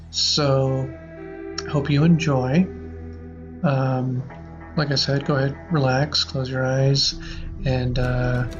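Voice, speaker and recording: A man hosting a podcast in a casual, playful way, with rambling, halting delivery. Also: medium pitch. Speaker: podcast host. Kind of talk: casual chat with playful improvised sci-fi bits. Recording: slightly muffled audio with prominent music.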